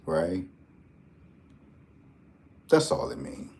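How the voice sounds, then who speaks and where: A man's voice: low-pitched, very animated, very slow, a little mellow. A man hosting a lottery tips vlog, talking in a casual, joking, rambling way full of slang and asides.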